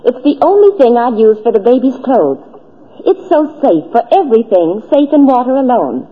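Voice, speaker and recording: An earnest young mother giving a 1940s radio testimonial. Her highish voice moves with lively intonation on a dull recording with long reverb. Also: moderate pace. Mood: earnest, reassuring. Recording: muffled echoing old radio recording.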